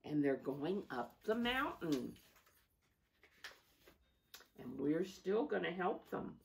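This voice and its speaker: Expressive, slow-paced woman reading to children. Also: on the low side, gravelly, a little hiss or hum. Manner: expressive, asking listeners questions. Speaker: storybook reader. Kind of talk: read-aloud children's story with asides to young listeners.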